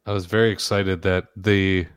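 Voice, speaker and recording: A man with a low, deep speaking voice, chatting loosely and laughing at his own stories. He pokes fun at himself with animated energy. The sound is dry with no room around it. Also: normal speed, clear, resonant tone, no room sound. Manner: laughing, self-deprecating, animated storytelling. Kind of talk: casual chat.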